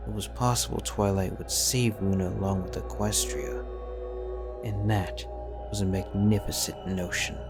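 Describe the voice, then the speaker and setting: A man narrating a fanfic audiobook in a measured, grave storytelling manner. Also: low voice. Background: background music.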